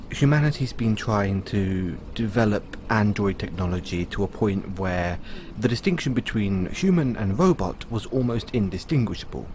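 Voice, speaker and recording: A man playing a patient owner talking to his android in an audio roleplay, explaining in a musing way with pauses. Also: lowish voice, a little mellow, a little hiss or hum. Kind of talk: audio roleplay, conversational storytelling.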